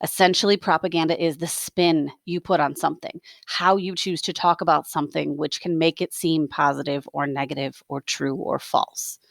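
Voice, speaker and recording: A woman reading a story aloud as a teacher, expressive, with explanatory asides. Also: low voice, moderate pace, creaky, few pauses, no room sound, clean studio sound.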